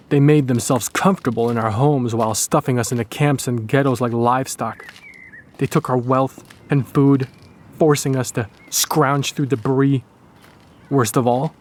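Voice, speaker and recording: A man's voice: medium pitch, darkish tone, lightly acted. A man playing a bitter, brooding Polish resistance executioner narrating his wartime journal in a dramatic audiodrama.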